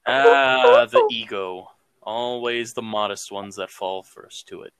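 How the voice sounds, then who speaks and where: A man's voice: on the low side, wildly swooping pitch, takes it slowly, a bit bright, clear, resonant tone, no room sound, heavily acted, low-quality recording. A man playing a sardonic, exasperated male demon in an improvised audio roleplay, sarcastic and mock-ominous.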